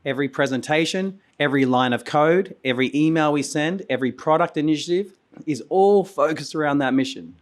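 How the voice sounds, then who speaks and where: Aussie guy on stage at a conference, voice going hoarse, coughs, keeps restarting his words. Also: on the high side, a little fast. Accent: Australian. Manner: energetic, repeats words, restarts phrases. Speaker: conference host. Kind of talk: conference keynote speech.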